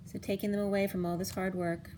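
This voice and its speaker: A woman reading tarot cards for viewers in a casual, rambling way, her voice going hoarse. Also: mid-range voice, little pitch movement, mellow, a little hiss or hum. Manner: rambling, halting restarts. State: losing her voice. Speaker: tarot reader. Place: home room, table of cards. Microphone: camera on the cards, speaker off screen.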